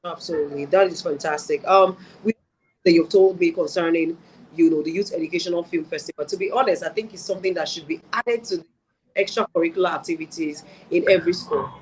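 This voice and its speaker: A woman's voice: fairly low pitch, fast, darkish tone, low-quality recording. A woman with a Nigerian accent interviewing in a conversational, affirming way as host. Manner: affirming, agreeing with the guest. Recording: faint background noise.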